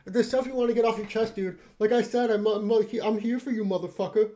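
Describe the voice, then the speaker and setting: Easygoing clownish troll played by a man, high-pitched, slangy and meandering, comic reading. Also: steady tone, a little fast, mellow, lightly acted. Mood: friendly, supportive. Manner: slangy, meandering, heavy swearing. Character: foul-mouthed, rambling, laid-back clownish troll bro.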